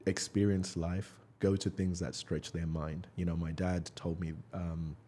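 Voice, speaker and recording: A man's voice: low voice, level tone, a little slow, dark tone, slight background noise. A man hosting a podcast, speaking in an encouraging, motivational way and often agreeing with short "yeah"s. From United Kingdom. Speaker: podcast host. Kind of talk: casual interview talk.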